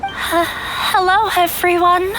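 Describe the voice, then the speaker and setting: An actress playing a shy, nervous young woman in a video game, speaking in a hesitant, halting way. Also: very high-pitched, flat delivery, noisy background. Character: shy, nervous young woman, new dancer, scholar's daughter.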